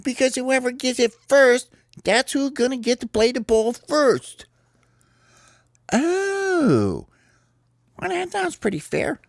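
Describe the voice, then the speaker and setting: A storyteller voicing excitable young sparrows in a children's story, chatty and full of exclamations. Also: high voice, slow pace, creaky, long pauses, no echo, studio quality, voice pushed up, exaggerated voice. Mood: excited, squeaky. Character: excitable squeaky young sparrows playing a ball game. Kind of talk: animated character voices in children's storytelling.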